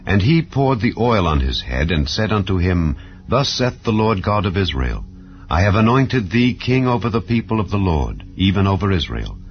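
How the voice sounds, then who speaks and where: A man reading scripture aloud in a slow, solemn, measured way. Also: low voice, very animated, muffled recording, soft background music. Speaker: Bible reader.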